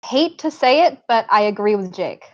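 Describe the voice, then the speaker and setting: A woman's voice: a little high, expressive, normal speed, natural voice. A woman playing a supportive but bossy college friend in a script table read, with slightly muffled sound. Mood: concerned.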